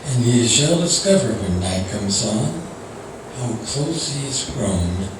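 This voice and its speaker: A man's voice: mid-range voice, very animated, takes it slowly. A man reading a poem aloud as a congregation member at a service. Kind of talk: poetry recitation. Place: large echoey indoor room at a church service, mic at a distance. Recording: distant, echoey hall with clear noise.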